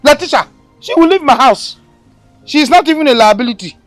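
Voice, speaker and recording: A woman playing a loud, scolding comic mother. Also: high voice, expressive, brisk, soft background music. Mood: ranting. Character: bossy middle-aged mother, loud and theatrical. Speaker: mother in a family skit. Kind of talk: comic skit acting.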